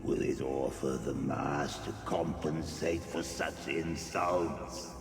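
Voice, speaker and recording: An actor playing a pompous, possessive inhuman collector of relics in a fantasy video game, with a gravelly voice. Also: male voice, rumbling low, fairly even intonation, slow pace, over music. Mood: haughty, covetous. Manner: pompous, speaks of self in third person.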